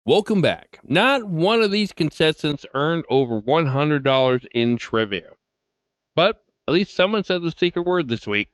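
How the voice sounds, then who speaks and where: An American man hosting a podcast with scripted narration in a smooth, resonant voice. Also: on the high side, sing-song, moderate pace, no echo. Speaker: podcast host. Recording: slightly dull sound, faint music bed.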